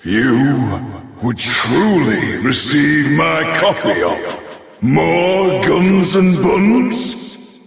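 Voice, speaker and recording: An ancient wish-granting dragon lord for a fantasy video game. He is imperious and grandly amused by mortals, drawing out his words very slowly with big theatrical swoops of pitch. The voice rings in a long, hall-like reverb. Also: big pitch swings, creaky, full character voice. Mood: grandly impressed. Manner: grandiose, theatrical, drawn-out delivery.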